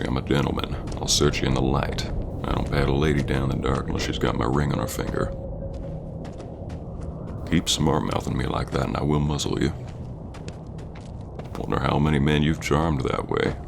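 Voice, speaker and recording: Extremely low and heavy with gravel, this is a gruff Old West bounty hunter acted by a man in an audio roleplay. He speaks with stern, gentlemanly menace and folksy grammar. Clear background noise and ambience sit behind the voice. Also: exaggerated voice. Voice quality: very deep, gravelly. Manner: slow-burning, stern gentlemanly drawling talk with folksy grammar. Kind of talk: ASMR roleplay acting addressed to the listener.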